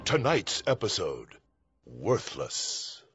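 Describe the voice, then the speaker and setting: A man's voice: fairly low pitch, wildly swooping pitch, slow. A man announcing titles dramatically in the style of a radio serial. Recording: muffled, under very loud music.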